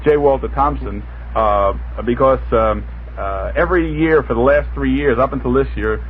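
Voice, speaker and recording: A man's voice: medium pitch, moderate pace. A middle-aged man chatting as a radio panelist, stammering and repeating words as he goes. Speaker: panelist on a radio talk show. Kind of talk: casual radio conversation. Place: radio studio. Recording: noisy, tinny 1960s radio recording.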